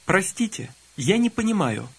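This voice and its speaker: A man narrating a scripted language lesson, calmly prompting the listener to repeat phrases. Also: sits high, normal speed, gravelly, slightly rough. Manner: calm instructive prompting. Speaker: language course instructor.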